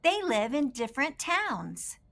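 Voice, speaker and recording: Slow, lively woman reading to kids. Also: sits high, vocal fry. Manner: slow, expressive delivery aimed at young children. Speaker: children's storybook reader and host. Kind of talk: scripted read-aloud storytelling with quiz questions for kids.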